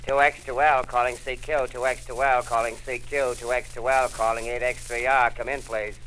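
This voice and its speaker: An actor playing a lonely male radio operator who repeats his call signs flatly, in 1930s radio drama style. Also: medium pitch, moderate pace, slight background noise, natural voice, low-quality recording. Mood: searching. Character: lone male radio operator calling out unanswered.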